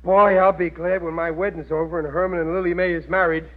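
A man's voice: high voice, level tone, quick pace, close to an everyday voice. An American actor playing a middle-aged, dim-witted but good-natured working-class family man, wisecracking and blustering in a classic radio comedy. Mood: grumbling. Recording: noisy, muffled vintage radio recording.

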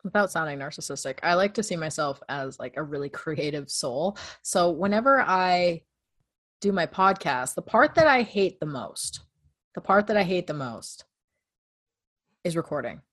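A woman with a low-registered voice, chatting casually as she walks through her podcast process step by step. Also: expressive, normal speed, fairly bright tone. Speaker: true crime podcast host. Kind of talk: casual conversation.

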